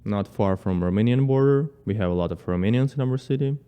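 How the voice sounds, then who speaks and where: A man from Ukraine speaks English with a Ukrainian accent in a casual interview. His voice sits fairly low, smooth and dark. He talks in halting phrases with plenty of "like," and the room leaves a long reverb behind him. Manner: halting non-native English, uses "like" as filler. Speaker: guest.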